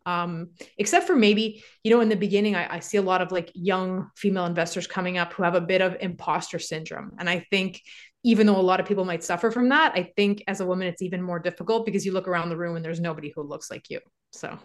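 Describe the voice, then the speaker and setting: A woman speaks as a podcast guest. Her voice sits a little low for a woman. She talks loosely, circling her points with "you know" and "like". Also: fairly even intonation, quick pace. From Canada. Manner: conversational, many fillers like "you know" and "like". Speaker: guest, real estate investor. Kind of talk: podcast interview answers.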